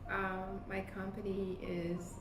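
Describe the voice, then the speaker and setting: A woman from Ethiopia, a business co-founder, talking earnestly in an interview, with many pauses and ums. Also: medium pitch, completely flat delivery, background noise. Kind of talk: interview talk. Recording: roomy sound with music behind. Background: music.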